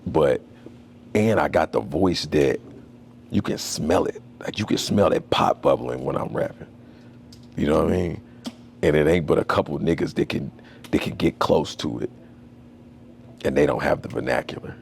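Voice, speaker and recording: A man's voice: extremely low pitch, normal speed, vocal fry, pauses often, a little hiss or hum. A young man, a tattoo artist, talking as a podcast guest in a loose, slangy, storytelling way. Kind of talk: casual conversation.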